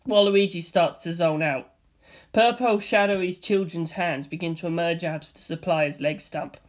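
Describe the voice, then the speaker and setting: A man's voice: on the high side, normal speed. A man reading out a comedy screenplay and its stage directions in a dry, matter-of-fact way. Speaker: script reader. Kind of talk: deadpan comedic script reading.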